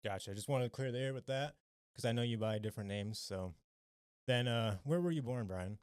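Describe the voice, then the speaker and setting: Fast-talking chatty male podcast host. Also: mid-range voice, a little mellow, clean tone, no echo, studio quality. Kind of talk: casual chat.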